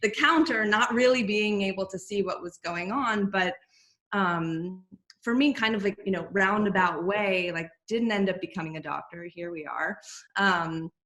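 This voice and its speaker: A woman's voice: mid-range voice, moderate pace, a bit bright. A woman, chatting as a podcast guest with many fillers and restarts. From United States. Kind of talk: casual interview chat.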